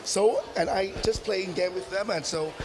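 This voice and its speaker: A man hosting a live event, speaking halting English and often repeating himself. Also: high voice. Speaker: event host. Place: busy public forum venue. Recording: busy crowded event hall chatter.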